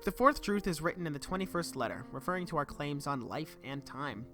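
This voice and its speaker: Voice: medium pitch, animated, brisk, a bit bright. Young narrator over background music. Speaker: student video essayist. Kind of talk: scripted video essay narration.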